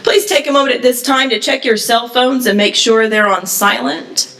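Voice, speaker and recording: A woman with a fairly high voice reads stage directions and announcements at a live event. She sounds some distance from the mic in a roomy, echoing hall. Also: moderate pace, fairly bright tone. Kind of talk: live event announcing and scripted screenplay narration. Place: live festival table read, echoing room. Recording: echoey hall from far off.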